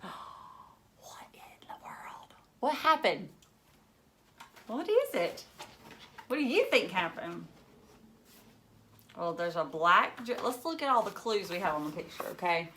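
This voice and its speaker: A woman's voice: medium pitch, big pitch swings, slow pace, long pauses, bright, forceful tone, a little hiss or hum. A woman reading a picture book aloud to children, theatrically, with questioning asides to the young listeners.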